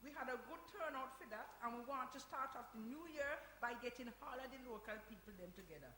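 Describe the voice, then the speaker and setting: A woman's voice: fairly high pitch, lively intonation, quick pace, pushed and bright. A woman acting in a radio play as a bossy, upbeat community centre organiser. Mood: gracious, chatty. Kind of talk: radio drama performed before an audience. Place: hall, recorded live. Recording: echoey hall with background noise.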